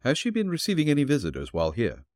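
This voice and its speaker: A man voicing a calm, shrewd detective who questions dryly and evenly. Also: medium pitch, no room sound, pitched above the performer's own voice. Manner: measured, dry questioning.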